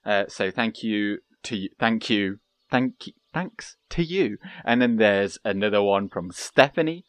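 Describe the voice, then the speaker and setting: Young guy chatting softly over his game, smooth clear voice, lots of ums. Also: fairly low pitch, measured pace, no room sound. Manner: calm, soft-spoken, hesitant with many fillers. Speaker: gaming channel host. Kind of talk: casual gameplay commentary.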